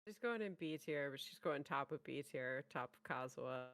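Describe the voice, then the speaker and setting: A woman's voice: extremely low pitch, lively intonation, normal speed, fairly bright tone, no echo, clean studio sound. A woman co-hosting a reaction show with casual commentary.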